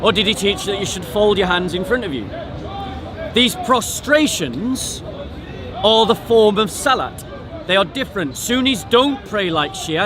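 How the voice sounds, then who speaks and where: A man debating religion in the open air, insistent and often repeating himself. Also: high-pitched. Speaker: street debater. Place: outdoors in a busy public park. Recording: busy outdoor crowd behind. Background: crowd chatter.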